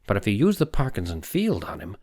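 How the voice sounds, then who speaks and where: A man's voice: on the low side, huge pitch swings, a little fast, darkish tone. Guy doing a younger doctor in an audiobook, uneasy and unsure, keeps objecting. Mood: protesting. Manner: hesitant, objecting. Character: younger male doctor, uneasy, doubtful, morally troubled. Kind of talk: audiobook character dialogue.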